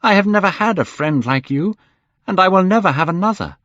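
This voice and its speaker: An earnest male painter pleads with his friends, his voice raised and wounded, as a male narrator puts him on. The pace is slow and deliberate. The sound is dry and slightly dull. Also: fairly high pitch, animated, a bit bright, no echo, pitched above the performer's own voice. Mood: pained. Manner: emphatic and wounded. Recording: slightly muffled dry recording.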